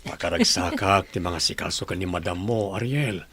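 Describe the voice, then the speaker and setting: A man playing a gentle, devoted middle-aged doctor in an Ilocano radio drama, with an Ilocano accent. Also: sits low, moderate pace, breathy edge, exaggerated voice. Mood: wistful.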